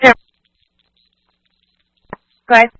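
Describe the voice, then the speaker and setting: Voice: takes it slowly, sharp tone, rough recording. An emergency dispatcher speaking in clipped, procedural calls over a two-way radio.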